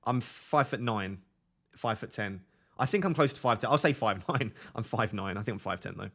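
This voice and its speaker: A young man hosting a live stream, chatting casually with his viewers in a flat, monotone, self-deprecating way. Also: fairly low pitch, moderate pace. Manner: self-deprecating, chatty, reads out chat messages. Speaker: livestream host.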